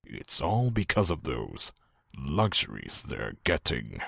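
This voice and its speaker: A man's voice: rumbling low, wildly swooping pitch, slow, creaky, a bit bright, no echo, studio quality, exaggerated voice. A man playing a harsh pony general, grumbling with contempt in a fan fiction audio drama. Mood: disgruntled. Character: harsh, disgruntled dark pony military general.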